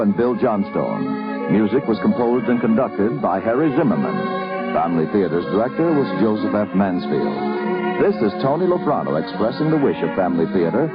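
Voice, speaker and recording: Male network announcer, 1950s radio style. Formal and polished, animated intonation, muffled vintage sound over loud music. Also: on the low side. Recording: dull 1950s radio recording.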